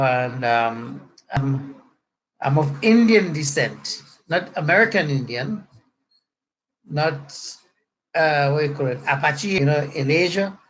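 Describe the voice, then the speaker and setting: A man's voice: a little high, slight background noise, poor audio. A middle-aged man from Trinidad with a Trinidadian accent, chatting casually to online viewers in a rambling, joking way. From Trinidad and Tobago. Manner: rambling, joking, spells out words to clarify. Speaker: pastor. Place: a room at home.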